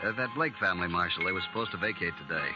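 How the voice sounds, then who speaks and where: Man acting pompous frontier moneylender, sneering, formal, low voice, old radio drama, muffled recording. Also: very fast, music in the background, lightly acted. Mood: indignant, sneering. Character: haughty, sneering, hard-hearted male frontier creditor. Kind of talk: 1950s radio western acting.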